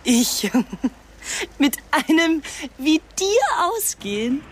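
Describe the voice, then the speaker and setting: An actress playing a brusque, scornful young supermarket worker in a radio drama, curt and mocking. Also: female voice, sits high, very animated, very slow, heavily acted.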